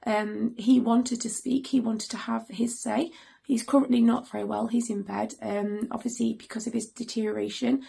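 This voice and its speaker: A hoarse woman in middle age speaks earnestly to her viewers with lots of pauses and ums. Her voice is heavily gravelly and deeply raspy, and it sits a little high for a woman. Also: warm tone. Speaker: mother and channel host. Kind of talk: earnest serious vlog talk to camera.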